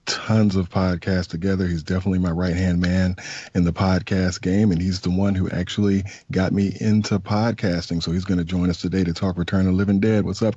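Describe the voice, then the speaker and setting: A man's voice: low-pitched, warm tone, no echo. A man hosting a podcast, chatting casually about a movie with many fillers. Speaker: podcast host. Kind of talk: casual movie review chat. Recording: dull lo-fi sound.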